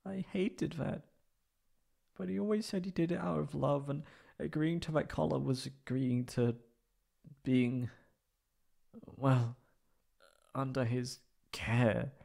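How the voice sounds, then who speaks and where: A man voicing a wounded, anxious young catboy in an emotional ASMR roleplay, halting and stammering tearfully. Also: mid-range voice, steady tone, vocal fry, dark tone, full character voice. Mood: tearful, hesitant.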